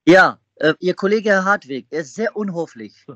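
A mocking man who keeps hammering the same question in a slightly high voice. The sound is dry and a little dull, with no room around it. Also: animated, normal speed, a bit bright, no room sound. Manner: mocking, repeats questions insistently. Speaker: prank caller baiting scam callers. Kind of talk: confrontational phone prank chat.

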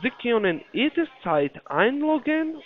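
A non-native speaker, a man with a foreign accent, giving a phone sales pitch in halting, repetitive sentences with broken grammar. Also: sing-song, faint music behind. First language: not German (non-native speaker). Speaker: investment telephone salesman. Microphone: phone call. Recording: dull, lo-fi phone call audio.

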